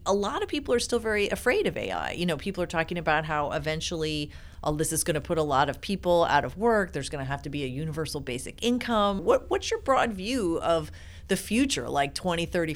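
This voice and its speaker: Low-voiced woman interviewing casually. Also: expressive, brisk. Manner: casual, reactive, jokey asides. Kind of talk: conversational interview.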